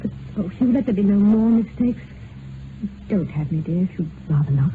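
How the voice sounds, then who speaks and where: A woman acting an anxious, romantic runaway wife in vintage radio melodrama. Her delivery is earnest, halting and dramatic, heard through a narrow recording with faint noise. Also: medium pitch, expressive, close to an everyday voice. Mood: earnest, loving. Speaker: actor.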